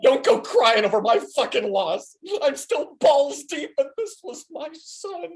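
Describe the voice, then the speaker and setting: A woman's voice: high voice, big pitch swings, unhurried, darkish tone. Woman playing brash, foul-mouthed schemer, wildly swooping delivery. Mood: angry, defiant. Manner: sarcastic, profane, blustering. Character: Steve, brash, foul-mouthed, paranoid, grieving male schemer. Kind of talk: comic script table reading. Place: remote video call.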